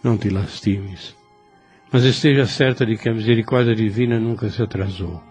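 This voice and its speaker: An actor playing a gentle, devout, dying old priest who speaks slowly and tenderly in an audio novel. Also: male voice, on the low side, soft background music, natural voice. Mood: gently consoling. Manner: slow, halting, tender speech. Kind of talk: religious audio novel acting.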